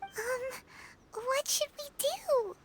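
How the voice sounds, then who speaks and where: A woman voicing a tiny, excitable childlike fairy companion in an anime-style video game, squeaky and chirpy. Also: drawn-out words, heavy background noise, full character voice. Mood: hesitant, worried.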